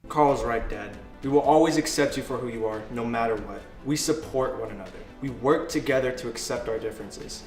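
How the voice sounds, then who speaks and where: A man's voice: mid-range voice, fairly quick, few pauses, far from the mic, close to an everyday voice. A man acting an earnest, indignant son confronting his father in a melodramatic web drama. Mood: earnest, supportive. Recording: roomy film dialogue under music.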